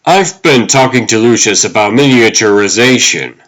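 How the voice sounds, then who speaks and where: A man's voice: on the low side, moderate pace, a bit bright, lightly acted. A man playing a driven, serious vigilante hero in a comic dub, speaking earnestly. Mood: driven, hopeful.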